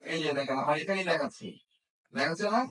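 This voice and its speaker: A man's voice: a little high, quick pace, a bit bright. A man teaching a hands-on repair class, often checking understanding with questions. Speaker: phone repair instructor. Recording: echoey room, distant microphone.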